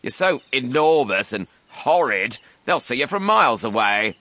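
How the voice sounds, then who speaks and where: Man as a sharp, snippy smaller storybook crocodile. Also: mid-range voice, very animated, normal speed, no room sound, voice pushed up. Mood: indignant, scolding. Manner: indignant, snippy scolding. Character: smaller, disapproving, scornful not-so-big crocodile. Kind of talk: children's storybook character reading.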